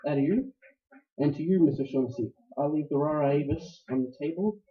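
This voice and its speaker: An actor playing a suave, genial criminal schemer, pompous and courtly, in 1940s radio noir style. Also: male voice, on the high side, fairly quick, natural voice. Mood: courtly, smug. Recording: dull, muffled recording.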